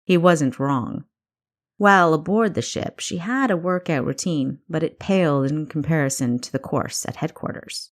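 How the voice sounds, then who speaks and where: A woman's voice: deep voice, normal speed. A woman reading scripted fiction as the narrator of an audiobook. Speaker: author narrating own audiobook.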